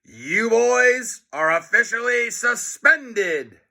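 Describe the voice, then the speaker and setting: A man voicing a gloating, bossy male school principal in a children's storybook, loud and gleeful. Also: very high voice, lively intonation, slow pace, voice pushed up, full character voice. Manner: shouting, gleeful. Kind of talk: children's storybook character reading.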